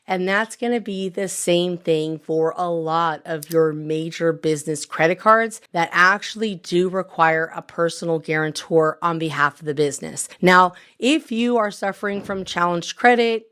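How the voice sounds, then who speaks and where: A woman in her thirties, a credit coach, explaining points one by one in an upbeat pitch while a bit hoarse from recovering her voice. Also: fairly bright tone. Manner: upbeat sales-pitch delivery, lists points by number. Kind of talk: direct-to-camera explainer.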